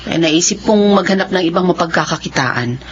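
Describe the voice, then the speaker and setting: Woman playing a lively-voiced mother. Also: on the low side, a little fast, highs cut off, a little hiss or hum, close to an everyday voice. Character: devoted working mother, middle-aged. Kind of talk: radio drama acting.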